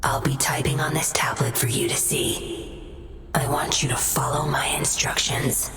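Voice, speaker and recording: Stern undercover agent: low register, terse, controlled, commanding game character over prominent music. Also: female voice, level tone, measured pace, a bit bright, whispered. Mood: hushed, secretive. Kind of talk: video game voice acting.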